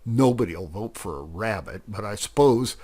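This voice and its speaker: A man voicing an excitable, grumbling male pig in a children's story, exclaiming in exasperation. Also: on the low side, normal speed, husky.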